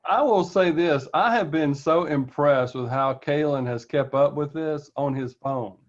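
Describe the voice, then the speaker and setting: A man's voice: on the high side, measured pace, background noise. A man on a video call, speaking in a dry, encouraging way. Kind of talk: casual video call chat. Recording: dull muffled audio.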